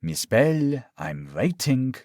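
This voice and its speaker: A man voicing a menacing male doctor villain who speaks with smug calm, coaxing and mock-tender. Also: mid-range voice, slow, no room sound, studio quality, voice pushed up. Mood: calm menace.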